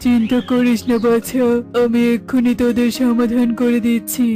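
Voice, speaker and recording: A woman's voice: fairly high pitch, monotone, moderate pace, mellow, natural voice. A woman voicing a fatherly, reassuring tantric holy man in a children's cartoon, speaking with authority. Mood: calm authority. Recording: music playing behind the voice.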